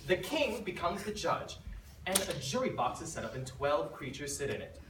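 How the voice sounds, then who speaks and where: Woman narrator reading a story on stage, expressive pitch, far echoey noisy recording. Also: moderate pace. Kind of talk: scripted storybook narration in readers theater. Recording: distant, echoey, noisy room.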